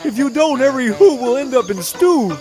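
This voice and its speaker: A performer playing a gentle, protective male elephant in a children's audio drama, sincere and pleading. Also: sits high, expressive, a little fast. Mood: alarmed. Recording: music behind the voice.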